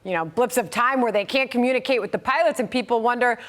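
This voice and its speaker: A woman's voice: fairly high pitch, quick pace. A woman anchoring a live news program, interviewing in a conversational style.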